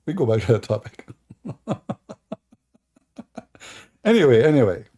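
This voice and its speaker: A man's voice: medium pitch, very animated, a little slow, warm tone. A man whose first language is Arabic, giving sarcastic, theatrical live-stream commentary in broken English. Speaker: online religious commentator.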